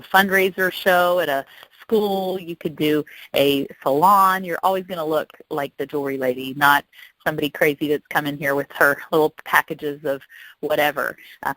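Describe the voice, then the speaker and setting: Chatty woman giving an informal sales pitch. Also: fairly bright tone, no room sound, rough recording. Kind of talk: informal sales presentation on a conference call. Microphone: telephone conference call.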